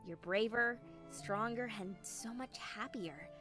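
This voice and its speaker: A woman voicing an earnest, caring cartoon pony friend, measured and sincere. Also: a little high, music in the background. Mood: warm, reassuring.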